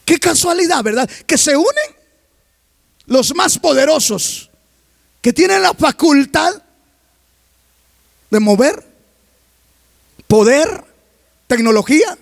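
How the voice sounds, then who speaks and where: High, gravelly-voiced male preacher. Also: expressive, slow pace, pauses often, dry recording, studio quality. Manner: constantly poses questions to the congregation; reads scripture aloud between remarks. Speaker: pastor. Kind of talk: sermon.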